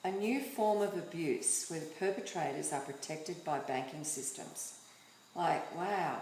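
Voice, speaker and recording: A woman's voice: fairly low pitch, a little mellow, roomy sound, slight background noise, low-quality recording. A woman hosting a casual interview, chatting freely and leaning on fillers like "like" and "you know". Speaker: talk show host.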